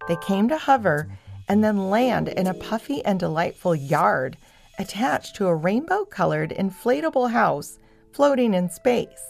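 A woman's voice: mid-range voice, vocal fry. A woman storyteller reading scripted narration for a children's audio story.

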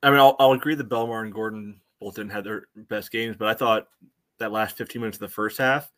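Male co-host with a lowish voice, dry recording, conversational soccer breakdown. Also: steady tone, a little fast, fairly bright tone, no echo. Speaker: sports podcast co-host. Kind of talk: casual soccer match analysis chat.

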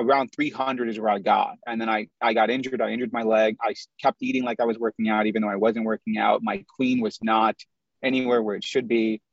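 A man's voice: medium pitch, no echo. A man in his thirties from the Midwest tells his story openly, sometimes reeling off long lists. He speaks over a video call, and the audio sounds dry and muffled. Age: 30s. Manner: candid, storytelling, lists things in runs. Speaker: guest.

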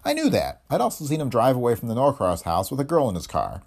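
A man's voice: mid-range voice, wildly swooping pitch, quick pace, a little mellow, slightly rough, pitched above the performer's own voice, heavily acted. A man voicing a cocky, sardonic, hard-headed investigator in a hardboiled detective story, wry and tough-talking. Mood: cool, defiant. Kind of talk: hardboiled detective audiobook dialogue.

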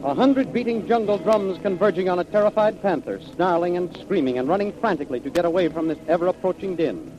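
A man's voice: sits high, animated, fast, hardly any pauses, music in the background. A man narrating as a 1930s radio serial announcer, in a dramatic, declamatory manner. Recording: muffled, hissy vintage radio recording.

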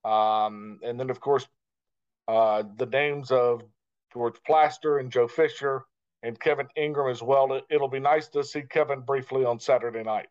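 A man with a mid-range voice, heard through slightly dull, lo-fi audio. He is a broadcaster giving conversational interview answers. His delivery is measured and reflective, broken into short phrases with pauses. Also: medium pitch, fairly even intonation, smooth. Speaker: guest, radio play-by-play broadcaster. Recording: dull, lo-fi sound.

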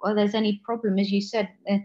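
A woman's voice: medium pitch, level tone, fast talker, warm tone. A woman, a guest author in a casual interview, talking earnestly and correcting herself often. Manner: earnest, many restarts and self-corrections. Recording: slightly dull lo-fi audio.

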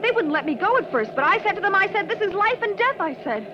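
A woman's voice: extremely high pitch, sing-song, fast. An actress playing a flustered, rambling working-class mother in a 1940s radio drama. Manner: breathless, fussy, rambling. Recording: dull old radio recording with hiss.

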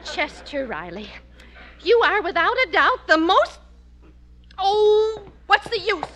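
Bright, high-voiced radio housewife. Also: female voice, big pitch swings, slow pace, a faint crowd, heavily acted. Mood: exasperated. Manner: coaxing, exasperated with her husband. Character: long-suffering, romantic sitcom housewife. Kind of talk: 1940s radio sitcom acting.

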